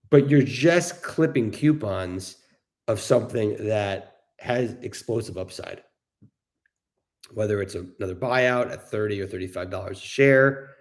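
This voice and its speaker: A man's voice: fairly low pitch, darkish tone. A middle-aged man giving casual, opinionated market commentary as an investor hosting a discussion, explaining his points with emphasis. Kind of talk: casual off-the-cuff market commentary with audience questions.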